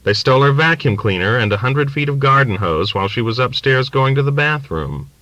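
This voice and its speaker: A man's voice: medium pitch, animated, fairly quick, sharp tone, dry recording, lo-fi sound, pitched above the performer's own voice. A man voicing a guarded, weary younger working man, plain and reticent, in an audiobook. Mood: defensive. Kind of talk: audiobook character dialogue.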